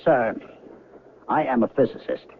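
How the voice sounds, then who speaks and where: An actor playing an agitated, bewildered physicist in a radio drama, insistent and flustered. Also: male voice, mid-range voice, measured pace, close to an everyday voice. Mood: indignant, insistent. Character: agitated, bewildered male physicist trapped on mysterious train. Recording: noisy, narrow-band old radio recording.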